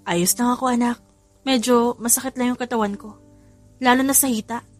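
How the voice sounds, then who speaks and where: A woman's voice: fairly high pitch, steady tone, a little fast, faint music behind, lightly acted. A woman with a Filipino accent voicing a playful, affectionate young mother in a romance audiobook. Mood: reassuring.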